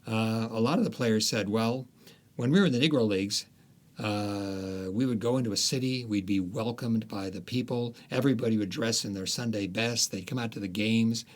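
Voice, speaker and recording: Halting, thoughtful man with a low voice. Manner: thoughtful, halting with many restarts. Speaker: author. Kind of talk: casual interview talk.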